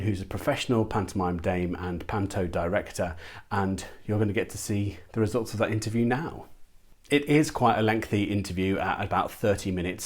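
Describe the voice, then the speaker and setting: Guy hosting an online interview, lowish voice, casual and keen. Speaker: interviewer and channel host. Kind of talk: interview, casual and enthusiastic presenting.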